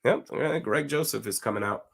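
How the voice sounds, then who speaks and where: A man with a slightly raspy, hoarse voice, giving casual live commentary on a football game and reading out viewer comments. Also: on the low side, normal speed. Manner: casual, talks to chat, reads comments aloud. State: hoarse from screaming. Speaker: watch party streamer.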